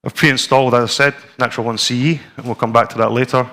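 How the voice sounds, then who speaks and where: Scottish man giving a relaxed tech talk. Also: mid-range voice, fast. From Scotland. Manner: relaxed, conversational, with frequent ums and restarts. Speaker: conference presenter. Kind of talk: technical conference talk with live demo. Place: conference room with a screen and audience.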